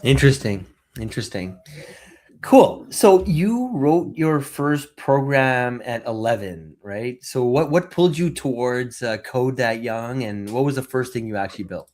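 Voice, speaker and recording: A man's voice: mid-range voice, lively intonation, measured pace, a little mellow. Upbeat chatty male podcast host. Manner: upbeat, chatty, with frequent fillers. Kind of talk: casual interview.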